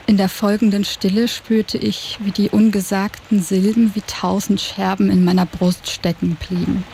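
A woman narrating an audio drama in the first person, with a soft, lyrical and introspective delivery. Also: medium pitch, level tone, moderate pace, creaky, slight background noise. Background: music.

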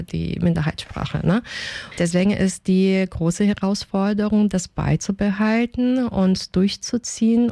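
Portuguese-accented woman with a warm, mellow voice. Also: medium pitch, no room sound. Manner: earnest, explanatory, with small slips in German grammar. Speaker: linguist, interview guest. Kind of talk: radio interview answers.

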